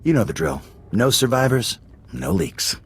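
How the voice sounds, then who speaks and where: Cold corporate thug boss in a game, gravelly, husky, menacing, barks short orders. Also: male voice, medium pitch, sing-song, moderate pace, heavily acted. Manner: curt, commanding. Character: ruthless male corporate enforcer, cold and menacing. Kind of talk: video game cutscene acting.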